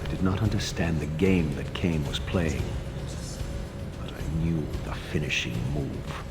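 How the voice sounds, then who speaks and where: A proud, embittered undead wraith speaks in a low voice over music. His diction is solemn and eloquent, in the brooding style of a gothic fantasy game. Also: unhurried. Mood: grim, brooding. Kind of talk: dramatic video game acting and narration.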